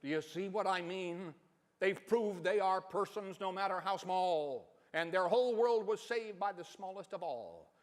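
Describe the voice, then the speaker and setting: A man's voice: sits high, expressive, a little slow. A man voicing a kindly, earnest, loyal elephant in a rhyming dramatic storybook reading. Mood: gently triumphant. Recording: reverberant hall.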